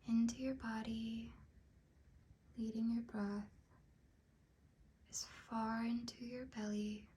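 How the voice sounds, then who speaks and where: Woman, whispery and breathy, soft mellow tone, slow soothing meditation guide, long pauses. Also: a little high.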